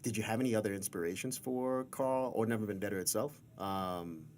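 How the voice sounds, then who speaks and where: Man, interviewer, host, low voice, easygoing, encouraging, faint room noise. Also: a little fast, a little mellow. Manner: easygoing, laughs along, encouraging. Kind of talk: casual interview questions.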